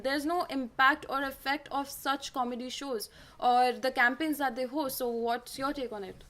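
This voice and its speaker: A woman's voice: sits high, a bit bright. A young woman from India with an Indian English accent, hosting a student talk show with scripted introductions and questions peppered with "you know". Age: young adult.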